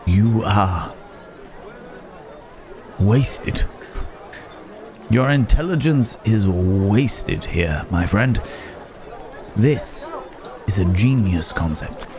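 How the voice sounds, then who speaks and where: Courteous werewolf in human guise, played by a man, for ASMR roleplay: deep, unhurried, wary and wordy. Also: lively intonation. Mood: delighted realization. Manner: hesitant, musing, old-fashioned wordy phrasing. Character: courteous city-bred man secretly a werewolf, thoughtful, wary. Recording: market crowd and outdoor ambience noise. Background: bustling village market ambience.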